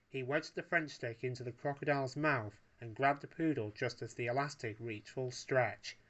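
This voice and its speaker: A man's voice: medium pitch, a bit bright. A man narrating a story as a storyteller, reading aloud from a text.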